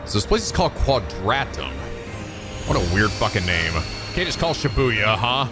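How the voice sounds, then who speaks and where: A man's voice: lowish voice, very animated, moderate pace, over music. A man giving casual, profane, sarcastic commentary as he reacts to game cutscenes. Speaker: let's-play host reacting to cutscenes.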